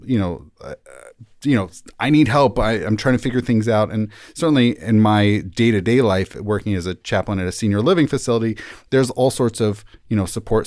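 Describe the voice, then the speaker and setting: Low-voiced man, measured and explanatory. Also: darkish tone, no echo, clean studio sound. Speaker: rabbi and senior living chaplain, podcast guest. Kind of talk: thoughtful conversational interview answers.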